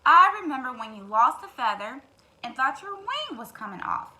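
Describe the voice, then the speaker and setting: A woman's voice: high-pitched, big pitch swings, normal speed, sharp tone, exaggerated voice. A woman voicing an easygoing, sensible male duck from a storybook, questioning his friend emphatically. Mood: surprised, doubtful.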